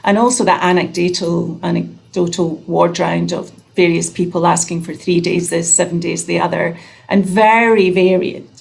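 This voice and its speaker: A Scottish woman in her fifties, a nurse researcher, chatting warmly about her career on a video call. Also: fairly low pitch, fairly even intonation, normal speed, creaky, slight background noise. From Scotland.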